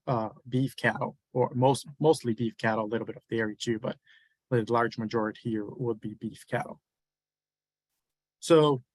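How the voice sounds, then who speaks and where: A man's voice: mid-range voice, no room sound. A man from Brazil with a Brazilian accent, giving a webinar lecture as a university beef cattle specialist, with many hesitant fillers. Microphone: video call.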